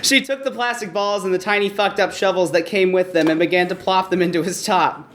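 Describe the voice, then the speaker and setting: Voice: medium pitch, fairly even intonation, a little fast, a bit bright. A performer reading a bawdy comic story aloud in front of an audience. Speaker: story reader. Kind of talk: comedic erotic story reading before an audience. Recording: noisy live room recording.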